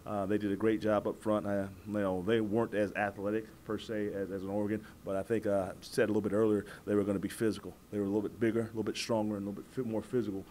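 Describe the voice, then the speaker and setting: An American man in his forties, a football coach answering press questions in a measured, halting way, repeating words as he goes. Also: on the low side, little pitch movement, a little mellow, a little hiss or hum. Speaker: college football head coach. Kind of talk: postgame press conference answers. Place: indoor press room at a table before a backdrop. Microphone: several press microphones on the table.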